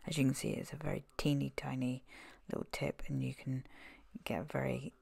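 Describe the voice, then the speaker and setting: A woman with a cold and a husky voice, chattily narrating her makeup tutorial with frequent ums. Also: extremely low pitch, flat delivery, fairly quick, darkish tone, no room sound. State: has a cold. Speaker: makeup vlogger.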